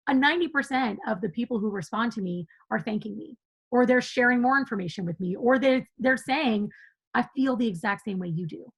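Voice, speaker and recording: A woman with a fairly high voice that rasps and crackles with fry, speaking as a podcast guest. She talks with passion and emphasis, pressing her points in relaxed conversation. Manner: passionate, emphatic, quick to laugh. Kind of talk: conversational interview.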